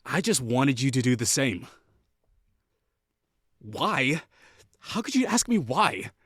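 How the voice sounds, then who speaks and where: Guy acting out a snooty sarcastic classmate rival, young, bouncy pitch, dry close sound. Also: sing-song, a bit bright, slightly rough, dry recording, full character voice. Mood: exasperated. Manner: sarcastic, scornful, quick to bristle. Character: haughty, sarcastic young male college rival, secretly lonely. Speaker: audio roleplay voice actor. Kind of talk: scripted audio roleplay.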